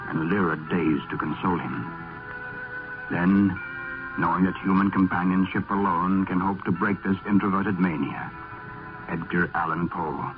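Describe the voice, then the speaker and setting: An actor playing a somber narrator of a gothic horror tale on old radio, grave and literary in delivery. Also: male voice, deep voice. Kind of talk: vintage radio drama narration. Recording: tinny old radio recording with music. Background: music.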